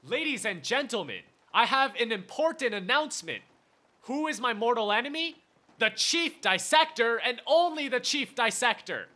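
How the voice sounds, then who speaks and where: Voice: medium pitch, sing-song, normal speed, pushed and bright. An actor as a boisterous, swooping drunk. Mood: drunken outrage. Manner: declamatory, slogan-like toasts. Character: pompous drunken chief dissector, theatrical and boisterous. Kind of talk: radio play acting.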